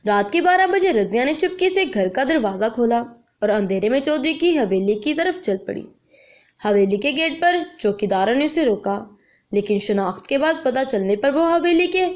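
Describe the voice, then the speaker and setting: Woman storyteller with a high, animated voice. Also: moderate pace. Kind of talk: scripted dramatic story narration.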